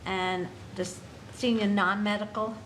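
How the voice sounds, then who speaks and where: An older woman with a New York accent raises her concerns at a public meeting. She speaks in an earnest, halting way, full of fillers and restarts, at a mid pitch. A faint hiss sits under her voice. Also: level tone, poor audio. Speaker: alderman on a city committee.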